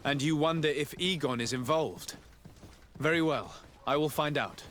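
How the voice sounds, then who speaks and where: A man's voice: fairly high pitch, normal speed, fairly bright tone, a little hiss or hum, close to an everyday voice. An actor voicing a composed, courteous nobleman diplomat in a video game, polite and formal.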